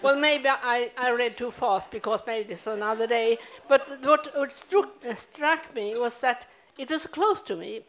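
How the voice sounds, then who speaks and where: Slow, halting high-voiced woman. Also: fairly bright tone. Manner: hesitant, halting, restarts often. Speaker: audience guest. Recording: faint audience noise.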